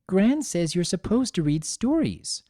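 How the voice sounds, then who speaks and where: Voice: mid-range voice, very animated, unhurried, dark tone, no echo, clean studio sound, pitched above the performer's own voice. A performer voicing a bouncy, earnest little pony girl with an eager, childlike delivery, in a dramatic fan fiction reading. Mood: eager, pleading. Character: Sunny, bouncy excitable little filly girl, earnest and playful.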